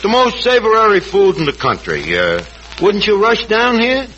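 An actor playing a cocky, uneducated tavern manager who mangles fancy words in 1940s radio comedy. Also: male voice, sits high, huge pitch swings, normal speed, highs cut off, exaggerated voice. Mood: explaining, self-important. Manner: pompous malapropisms, wisecracking. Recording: noisy vintage radio recording, loud studio audience.

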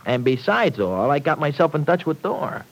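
An actor playing a cocky, big-hearted young rancher with slangy, folksy talk in an old-time radio serial. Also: male voice, on the high side, sing-song, moderate pace, low-quality recording. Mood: rueful, casual. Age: young adult.

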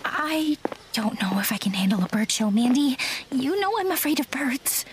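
A self-doubting, theatrical actress in her thirties. Her high voice swings widely in pitch, in an audio comedy with faint ambience behind. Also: very animated, moderate pace, background noise. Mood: insecure. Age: 30s. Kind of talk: comedy audio drama acting.

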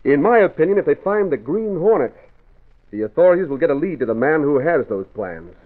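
A man's voice: a little high, sing-song, fairly quick. Pompous businessman, formal and aggrieved, widely swinging delivery, tinny old-radio sound. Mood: regretful, formal. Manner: formal, theatrical, self-important. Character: pompous, wounded company boss feigning regret. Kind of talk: 1940s radio acting. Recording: tinny, narrow vintage radio recording.

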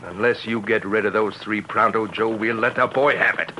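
A man's voice: on the low side, steady tone, normal speed, natural voice. A man playing a menacing, bossy outlaw gang leader in an old radio western. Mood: threatening, commanding. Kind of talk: 1950s radio western acting. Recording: noisy old radio recording.

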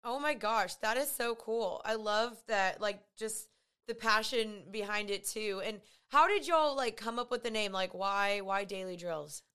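A woman with a raspy voice hosting a podcast in chatty, gushing talk full of fillers. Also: mid-range voice, measured pace, pushed and bright. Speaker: podcast host. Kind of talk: casual podcast chat.

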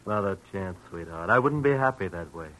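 Low-voiced, slick, menacing debt collector. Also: male voice, animated, normal speed, a bit bright. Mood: mocking menace. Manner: mock-polite, taunting, sardonic. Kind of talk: 1940s radio detective drama acting. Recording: noisy old radio broadcast recording.

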